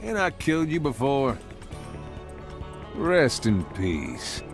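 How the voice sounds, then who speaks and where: An actor playing a cocky American cowboy gunslinger from a video game, with a laconic Western drawl and a swaggering manner. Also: male voice, medium pitch, wildly swooping pitch, takes it slowly, faint music behind, full character voice. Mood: cocky taunting. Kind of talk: video game hero voice lines.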